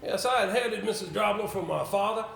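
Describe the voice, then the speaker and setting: A man's voice: high-pitched, fast, a bit bright, heavily acted. A man on stage playing an avuncular older male solicitor, musing and hesitant, in a roomy, slightly noisy recording. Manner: hesitant, musing, throat-clearing. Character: avuncular older male solicitor, senior boss, rambling, brisk. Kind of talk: amateur stage play acting. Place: indoor stage, picked up with room sound.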